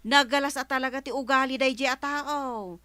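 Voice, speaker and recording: A woman with an Ilocano accent playing a gossipy, candid village councilor in a radio comedy drama, exclamatory and animated. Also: sits high, normal speed, clean tone, no room sound.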